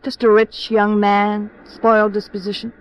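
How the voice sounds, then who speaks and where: A woman's voice: mid-range voice, completely flat delivery, normal speed, lightly acted. An actress playing a candid, world-weary American society woman in a 1950s radio drama, speaking in a cool, languid way. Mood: rueful, confiding. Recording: dull vintage radio recording.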